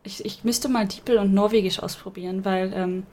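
A woman's voice: mid-range voice, steady tone, normal speed, darkish tone. A woman co-hosting a casual podcast, thinking aloud with many hesitations and restarts. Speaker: podcast co-host.

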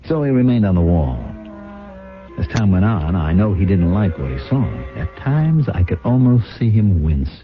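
An actor playing an ambitious, suave husband of about forty who runs a factory, in a husky voice and 1970s radio drama style. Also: male voice, sits low, sing-song, moderate pace, faint music behind. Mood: smug, reflective. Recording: muffled, phone-like old radio recording.

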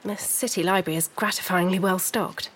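Refined, determined 1930s wife. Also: female voice, lowish voice, steady tone, a little fast, a bit bright, rough recording. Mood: composed, informative. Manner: formal, articulate, clipped politeness. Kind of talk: scripted period noir audio drama acting.

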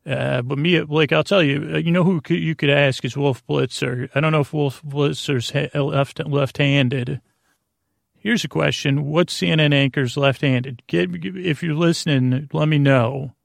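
A middle-aged American man with a creaky, gravelly voice, hosting a sleep podcast in a meandering, halting, whimsical monologue. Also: on the high side, steady tone, no room sound, clean studio sound. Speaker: podcast host. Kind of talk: rambling bedtime monologue to help listeners sleep.

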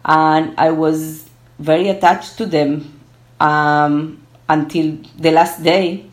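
A woman in her thirties, a Spanish speaker, talking reflectively and haltingly as a guest in a conversational interview. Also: low-pitched, steady tone. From born in Argentina, moved to Israel at twelve. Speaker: interview guest, gerontology researcher.

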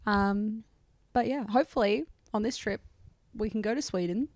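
A young woman with an Australian accent chats playfully and casually with her co-host, her voice at a middling pitch for a woman. The recording is close and dry, with little room sound. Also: moderate pace, darkish tone, no echo. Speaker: podcast co-host. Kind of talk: casual chat.

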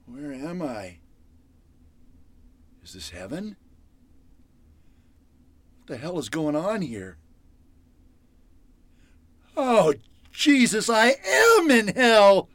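An actor playing a panicked, foul-mouthed captive in old-time radio horror style, halting and melodramatic. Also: male voice, high-pitched, wildly swooping pitch, a bit bright, no echo, exaggerated voice. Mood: bewildered, horrified. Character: panicked, foul-mouthed captive man pleading and scheming to escape.

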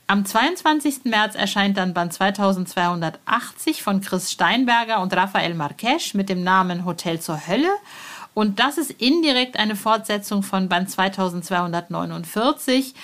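A woman's voice: mid-range voice, fairly bright tone. A woman hosting a podcast, chatting and presenting in an informal, enthusiastic manner. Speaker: podcast host.

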